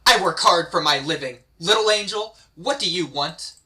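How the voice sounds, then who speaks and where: Troubled, stubborn lost soul in a fantasy drama read by a man, bright forceful tone. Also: a little high, animated, normal speed. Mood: defiant. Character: troubled, stubborn amnesiac man stuck in purgatory. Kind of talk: dramatic script reading.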